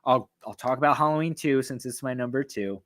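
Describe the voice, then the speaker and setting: A man with a mid-range voice talks through his favorite horror films, his throat going hoarse. He is openly excited and swears a lot, rambling with plenty of fillers. The tone is casual, like a fan chatting to his viewers. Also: medium pitch, fairly quick. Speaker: horror film reviewer. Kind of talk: casual film ranking talk.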